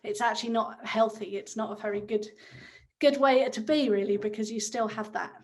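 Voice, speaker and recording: Higher-voiced woman hosting a chat. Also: fairly even intonation. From England. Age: 30s. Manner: friendly, measured, with fillers. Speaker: discussion host. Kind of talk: hosting a round table.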